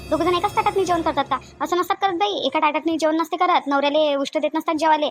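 A woman's voice: sits high, steady tone, quick pace, soft background music, close to an everyday voice. A woman voicing village women of a family in a homemade cartoon, talking colloquially and expressively with a Vidarbha Marathi accent. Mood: indignant, scolding. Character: women of a village family: shy bride, chatty sisters-in-law, scolding aunt.

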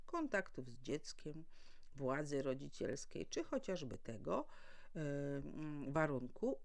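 A woman's voice: fairly low pitch, darkish tone. A woman legal adviser giving a formal, didactic explanatory talk to listeners. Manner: formal, didactic, asks and answers own questions. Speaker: legal adviser and mediator presenting.

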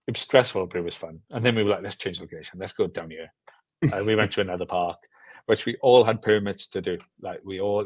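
Young guy on a video call, easygoing chat, lowish voice, pretty dry sound. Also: dry recording. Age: young adult. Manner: easygoing, informal. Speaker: film director, interview guest. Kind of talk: casual interview chat. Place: indoor room at home, on a video call.

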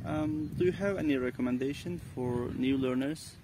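A man's voice: medium pitch, moderate pace, background noise. A man asking short, hesitant interview questions from off camera outdoors.

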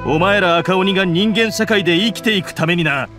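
A man's voice: high voice, fairly quick, music in the background, lightly acted. A man voicing a grim, bitter male blue oni outlaw who speaks coldly and with scorn. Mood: bitter, scornful. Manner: cold, scornful, heavy delivery. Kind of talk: Japanese game voice acting.

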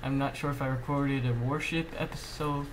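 A man with a medium-pitched voice hosts a casual gameplay commentary while slightly under the weather. He talks in halting bursts, half muttering to himself. The homemade recording has clear noise behind the voice. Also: steady tone. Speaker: gaming channel host.

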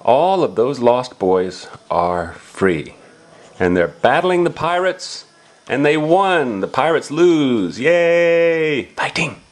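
A man's voice: medium pitch, very animated, slow. A man reading a picture book aloud to a young child, asking simple questions and exclaiming as he explains.

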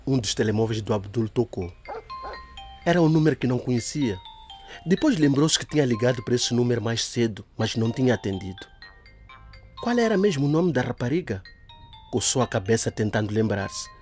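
A man narrating a scripted audio drama in a lively storytelling manner. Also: medium pitch.

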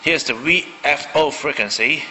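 A man's voice: on the high side, moderate pace, sharp tone, long reverb tail, a little hiss or hum, poor audio. A man from Malaysia casually demonstrating a radio at his desk, thinking aloud with many fillers. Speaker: hobbyist radio reviewer. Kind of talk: casual hands-on product demo. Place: indoor room at a desk.

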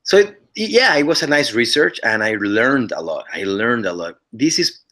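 A man's voice: medium pitch, normal speed. Mexican-accented man on a video call. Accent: Mexican Spanish. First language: Spanish. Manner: earnest, restarts often, lively gestures. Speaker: actor guest. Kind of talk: casual interview chat over video call. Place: living room at home. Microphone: webcam on a video call.

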